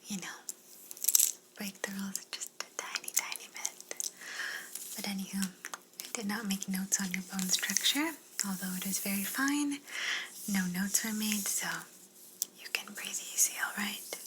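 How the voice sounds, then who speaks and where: A woman playing a curious, politely condescending alien examiner in a sci-fi ASMR roleplay, whispering softly and fussily, repeating words soothingly. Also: mid-range voice, heavy background noise, close to an everyday voice. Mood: condescending, playful. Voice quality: soft, breathy whisper. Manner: slow, gently fussy, clinical, repeats words soothingly.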